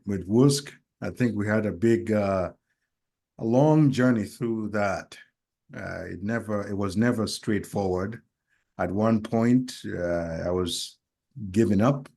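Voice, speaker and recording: A man with a somewhat low voice co-hosts a relaxed podcast conversation. He grew up in Kenya. He speaks thoughtfully and haltingly, often pausing with fillers as he reflects. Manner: hesitant, reflective, many pauses and fillers. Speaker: podcast co-host.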